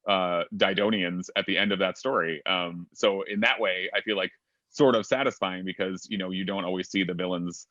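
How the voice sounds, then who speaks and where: Deep-voiced man with dull, dry audio. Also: steady tone, moderate pace, fairly bright tone, dry recording. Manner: chatty, full of fillers and hedges. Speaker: panelist. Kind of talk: casual panel discussion.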